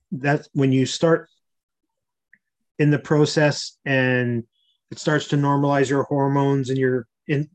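A man in his early sixties, an interview guest, explaining health matters informally in a measured way. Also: mid-range voice.

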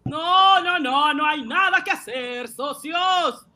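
A woman's voice: sits high, slow pace, bright, forceful tone, full character voice. A woman playing a proud, indignant owner of a small national factory in a radio drama, protesting emphatically. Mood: indignant, defiant.

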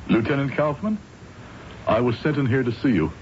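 A man's voice: mid-range voice, a little mellow, lightly acted. Actor as a troubled man confessing, dull old radio. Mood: tense, hesitant. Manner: halting, solemn, insistent. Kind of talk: old-time radio drama acting. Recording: dull lo-fi old radio recording, faint noise.

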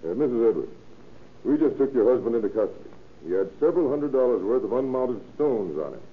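A man's voice: mid-range voice, sing-song, fast, close to an everyday voice. A man playing a shrewd American police inspector with clipped, matter-of-fact questions in an old-time radio crime drama. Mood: businesslike, probing. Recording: tinny, noisy old radio recording.

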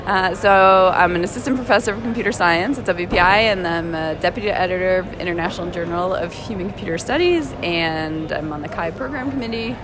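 A woman, a computer science professor, giving clear and measured advice in an interview. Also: fairly low pitch, music in the background. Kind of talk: interview answers.

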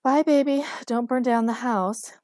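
A woman's voice: mid-range voice, normal speed, dark tone, no echo, studio quality, lightly acted. A woman voicing an exasperated, bossy boyfriend in audiobook dialogue, firm, nagging and long-suffering. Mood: patient, chiding. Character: exasperated bossy responsible boyfriend, a working man.

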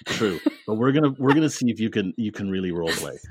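A man's voice: on the low side, expressive, quick pace, a little mellow, slight background noise. A man hosting a podcast in casual, jokey chat, laughing often and tripping over his words. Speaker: podcast host.

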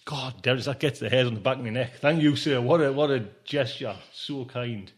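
A man's voice: medium pitch, brisk, a little hiss or hum. A man hosting a podcast in a casual, rambling, informal way, dropping in conversational tag phrases. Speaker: podcast host.

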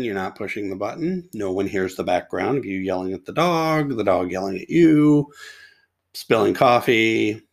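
A middle-aged man with a sore, hoarse throat, hosting a podcast and reviewing chat apps in a rambling, casual way full of restarts and asides. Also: lowish voice. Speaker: podcast host. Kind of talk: casual tech review monologue.

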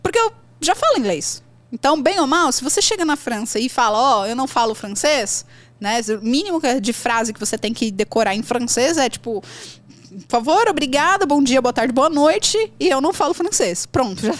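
A Brazilian woman in her thirties hosting a podcast, talking in an animated, chatty and slangy way. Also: high voice, normal speed. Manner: animated, chatty, slangy, jumps between thoughts. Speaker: podcast host, English teacher. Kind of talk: casual podcast chat.

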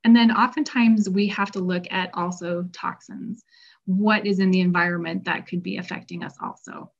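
Woman speaking in an even, flat tone. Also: fairly low pitch, normal speed, creaky. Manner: conversational, acts out patient quotes. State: losing her voice. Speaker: health practitioner. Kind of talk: informal health presentation. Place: Colorado. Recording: dull lo-fi recording.

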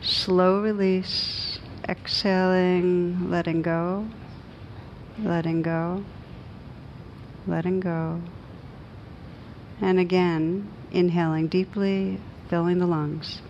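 Woman leading a meditation, really slow and even, soothing, some low rumbly noise behind her. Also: dull audio. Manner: gentle, unhurried, soothing instruction with long pauses. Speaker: meditation teacher. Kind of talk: guided meditation. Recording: noisy recording with low rumble.

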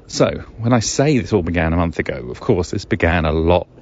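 A man's voice: sits low, expressive, a little fast, a little mellow. A middle-aged man, musing alone as a podcast host in a rambling, wry, hesitant way full of wordy asides. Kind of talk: musing solo podcast monologue. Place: outdoors in the woods. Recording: dull lo-fi recording, ambient noise.

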